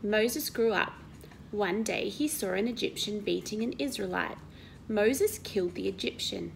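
A woman in her thirties reads a Bible story to children, explaining it and asking questions in an expressive, teacherly way. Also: mid-range voice, measured pace, vocal fry, slight background noise, poor audio. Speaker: Sunday school story reader. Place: indoor living room at home.

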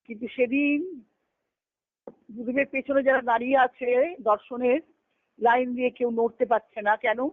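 A woman giving a devotional discourse on a conference call, telling stories earnestly and slowing down to recite scripture verses. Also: fairly high pitch, fairly even intonation. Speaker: spiritual discourse speaker. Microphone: phone on a conference call. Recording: tinny phone line, dry.

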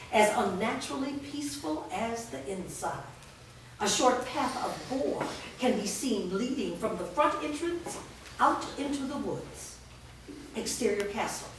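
A woman reads scripted stage directions in a measured, descriptive way. She sounds far from the microphone in a very echoey room, with faint noise behind her voice. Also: medium pitch, a bit bright. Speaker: narrator reading stage directions. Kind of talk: scripted narration at a staged reading. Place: large bare room with small audience, indoors. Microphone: distant camera microphone.

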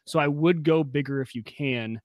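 A young man co-hosting a casual tech podcast, explaining eagerly over a video call on a boom-arm studio mic in a home workshop. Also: dry recording. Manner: quick, enthusiastic explaining. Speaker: podcast co-host. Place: home workshop room with pegboard.

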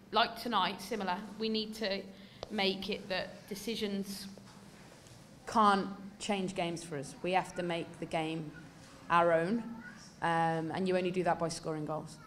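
English female coach in her 30s, low-pitched, candid and emphatic at a press desk mic, faint background hiss. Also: fairly even intonation, moderate pace, a bit bright. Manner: candid, emphatic, many fillers. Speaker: football coach. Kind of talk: post-match press conference answers. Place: indoor press room before a sponsor backdrop.

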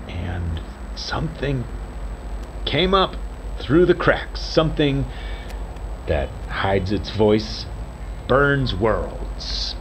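An actor playing a weary, fearful, guilt-ridden radio observer who speaks in a halting, brooding, confessional way. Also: male voice, medium pitch, slight background noise, poor audio. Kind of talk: audio drama monologue.

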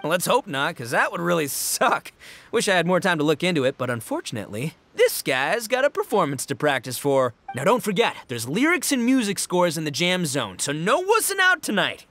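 A brash, cocky oni rocker. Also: male voice, high voice, very animated, a little fast, exaggerated voice. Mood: boisterous. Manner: loud, cocky and boisterous. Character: brash young horned oni man, boastful rocker. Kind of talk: video game voice acting. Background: game music.

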